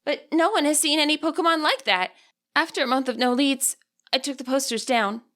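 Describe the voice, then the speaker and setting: A troubled teenage girl, as a female narrator puts her on, speaks in a high, sharp voice. She sounds guarded and weary, like a young trainer in an audiobook who is holding back her hurt. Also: a little fast. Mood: upset.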